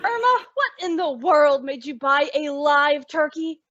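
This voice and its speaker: High, animated, exasperated wisecracking woman played by an actress. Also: dry recording, some chatter behind, full character voice. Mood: wry narrating. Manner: brisk, sardonic, dramatic delivery. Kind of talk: old-time radio comedy acting. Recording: dull lo-fi radio-style recording.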